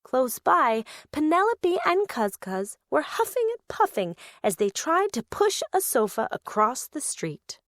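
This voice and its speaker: A woman narrating a children's story in an expressive read-aloud style. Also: high-pitched, unhurried, hardly any pauses, no echo, studio quality.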